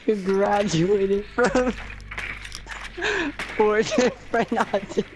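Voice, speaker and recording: A young man in casual gaming chat, easily amused and giggly, reacting to a friend in drawn-out phrases. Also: sits high. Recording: noisy gaming voice chat with clicks.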